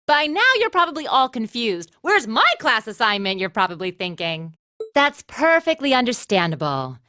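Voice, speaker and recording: A playful academy instructor in a game speaks high and perky. Her pitch swings teasingly, with drawn-out words and confident ease, in a dry studio sound. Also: sing-song, pushed and bright, no room sound. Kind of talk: game voice acting, addressing students.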